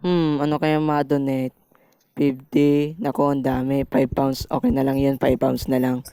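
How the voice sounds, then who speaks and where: Woman voicing a low-pitched, grumbling cartoon character. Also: level tone, a little slow, clean tone, no room sound, clean studio sound. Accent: Filipino. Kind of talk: amateur Filipino fan dub of an animation. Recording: loud music under the voice.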